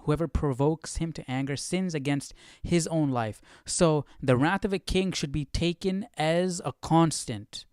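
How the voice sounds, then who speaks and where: A man's voice: fairly high pitch, a little mellow, clean tone, dry recording, clean studio sound. A man hosting a live study stream, thinking aloud in casual commentary and often repeating and rephrasing himself.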